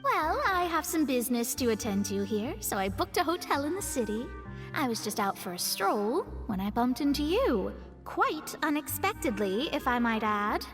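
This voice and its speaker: A woman's voice: high voice, big pitch swings, moderate pace, a bit bright, big-room sound, soft background music. A woman voicing a proud young female astrologist in a studio game, composed and a little haughty as she explains things. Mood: friendly.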